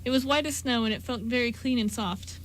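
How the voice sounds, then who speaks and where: A woman's voice: on the high side, a little fast, slight background noise, low-quality recording. A woman reading scripted story narration for an amateur radio drama.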